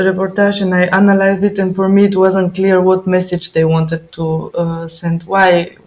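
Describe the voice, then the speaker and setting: A woman's voice: on the low side, darkish tone. Hesitant young woman guest, lots of ums. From Bulgaria. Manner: hesitant, many fillers and restarts, laughs easily. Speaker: podcast guest. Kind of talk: casual interview talk. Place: living in Germany, remote call.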